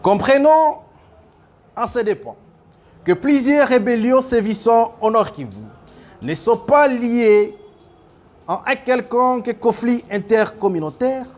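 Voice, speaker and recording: Slow, high-pitched man with a Congolese French accent. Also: lively intonation, a little mellow. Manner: halting, deliberate reading with self-corrections. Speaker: spokesman reading a youth group's statement. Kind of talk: formal read-out declaration.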